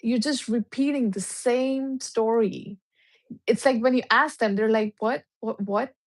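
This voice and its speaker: A woman's voice: on the high side, unhurried. A woman guest on a video call, talking reflectively and casually, with many fillers. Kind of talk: casual conversation on a live stream.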